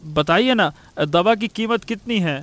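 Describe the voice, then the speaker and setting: A man's voice: high-pitched, unhurried, a bit bright, dry recording. A man voicing a poor, protective village father in a Hindi cartoon story, earnest and often repeating himself. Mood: pleading.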